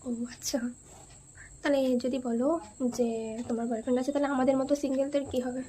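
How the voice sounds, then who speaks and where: Rapid high-pitched young Bengali woman, noisy recording. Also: flat delivery. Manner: teasing, insistent. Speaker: prank caller, vlogger. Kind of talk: casual prank phone chat with asides to viewers.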